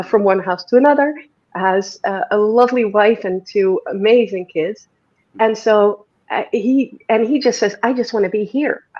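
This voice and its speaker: Dutch-accented woman, rambling and warm. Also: mid-range voice, muffled recording. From Netherlands. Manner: rambling, frequent fillers and restarts. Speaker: guest. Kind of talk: casual interview conversation.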